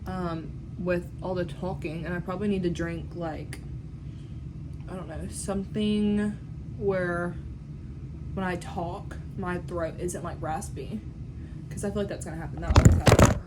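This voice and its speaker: A woman's voice: fairly low pitch, steady tone, unhurried, long pauses, noisy background. An eighteen-year-old woman, talking casually to the camera in a home vlog, full of "like" and "um" fillers. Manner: chatty, lots of "like" and "um" fillers. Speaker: vlogger. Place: at home in a bedroom. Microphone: phone or camera on a tripod.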